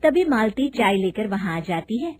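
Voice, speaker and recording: A woman's voice: medium pitch, very animated, normal speed, slightly rough. A woman reading a scripted moral story as narrator.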